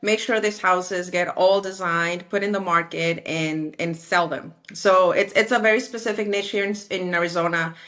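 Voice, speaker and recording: A woman in her forties from Mexico, speaking as an interview guest, lively and acting out remembered conversations. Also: on the low side, moderate pace, few pauses. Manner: animated, acts out quoted dialogue. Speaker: guest, architectural designer.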